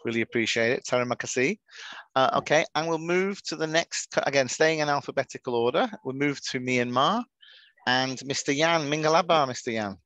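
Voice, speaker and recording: A man's voice: mid-range voice, brisk, a bit bright, no echo. A man hosting an online teachers' webinar in a friendly, chatty way, often restarting his sentences, heard over a video call. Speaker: webinar moderator.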